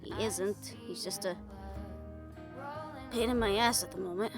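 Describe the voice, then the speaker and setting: A woman's voice: medium pitch, fairly even intonation, a little slow, close to an everyday voice. A woman playing a wry, affectionate, bossy girlfriend in an improvised, intimate audio roleplay, talking casually with slangy teasing and swearing. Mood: teasing, fond. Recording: music behind the voice.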